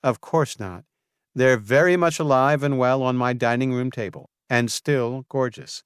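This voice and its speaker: A man's voice: medium pitch, unhurried, clear, resonant tone, no echo, studio quality, pitched above the performer's own voice, natural voice. A man voicing a poised, gracious woman mayor who speaks politely. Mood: friendly.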